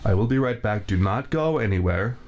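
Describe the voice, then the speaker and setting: A man's voice: fairly low pitch, lively intonation, darkish tone, dull audio. A man playing a spiteful laid-off torturer. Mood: fussy, clinical. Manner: formal, theatrical villain diction, slow and deliberate. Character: spiteful laid-off veteran male torturer, fussy and formal. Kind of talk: ASMR roleplay. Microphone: 3Dio binaural mic.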